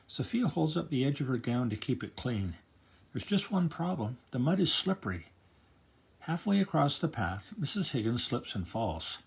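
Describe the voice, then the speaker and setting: An older man reading a children's picture book aloud in a gentle, grandfatherly storytelling manner. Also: mid-range voice, sing-song, normal speed, gravelly, breathy edge. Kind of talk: children's read-aloud narration.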